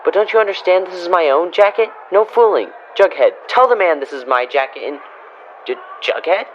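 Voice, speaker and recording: A man's voice: high-pitched, expressive, a little fast. A man playing a naive, flustered teenage boy who stammers and whines in an old-time radio comedy style. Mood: flustered, pleading. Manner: whiny, stammering protests. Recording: dull, band-limited, with clear background noise.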